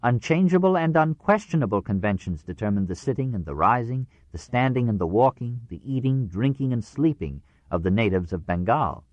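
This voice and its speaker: A man narrating a nonfiction audiobook in a measured, formal way with precise phrasing. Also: medium pitch, expressive, darkish tone, dry recording, lo-fi sound.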